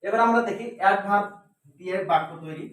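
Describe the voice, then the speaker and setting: A man's voice: high-pitched, quick pace, a bit bright. A man teaching English grammar step by step in a didactic way, asking and answering his own questions and repeating key words. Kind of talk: step-by-step classroom lesson. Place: echoey indoor room. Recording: very echoey room, distant microphone.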